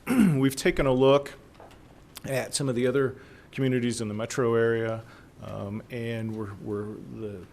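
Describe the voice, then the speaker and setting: A hoarse man with a cold, presenting zoning changes at a city council meeting in a halting way, with many fillers and restarts. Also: mid-range voice. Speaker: city planning staff presenter. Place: council chamber.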